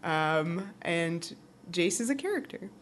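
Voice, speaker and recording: A woman's voice: fairly low pitch, lively intonation, slow pace. A woman co-hosting a podcast, recapping a show in casual conversation. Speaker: podcast co-host. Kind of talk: casual chat, recapping a TV episode.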